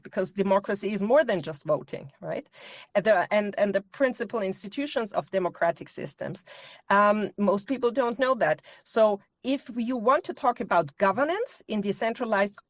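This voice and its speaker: A woman's voice: medium pitch, dry recording. A woman from Austria, a guest answering interview questions in earnest, lecturing detail, often correcting herself mid-sentence. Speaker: guest expert. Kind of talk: interview answers, explaining at length.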